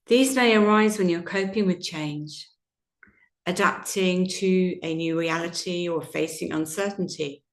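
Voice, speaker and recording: A woman teaching yoga nidra, guiding a relaxation meditation in a gentle, soothing, unhurried manner with long pauses. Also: lowish voice. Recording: roomy, echoing sound.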